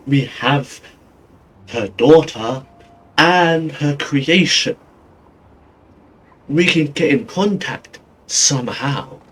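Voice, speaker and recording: A man giving casual, musing game commentary as a streamer, in a halting way. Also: a little high, slight background noise, poor audio. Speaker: gaming streamer.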